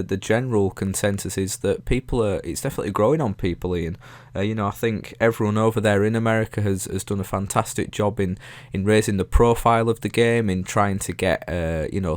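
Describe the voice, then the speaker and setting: Sniffly man, low voice. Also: no echo. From England. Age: 20s. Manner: rambling, self-correcting, chatty. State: sniffly from hay fever. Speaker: YouTube host answering viewer questions. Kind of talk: casual Q&A chat.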